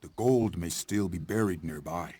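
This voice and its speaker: Low-voiced, grave old mentor. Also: male voice, moderate pace, mellow, breathy edge. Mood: solemn. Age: older. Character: grave, wise old great-uncle, seasoned frontier hero. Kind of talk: video game cutscene acting.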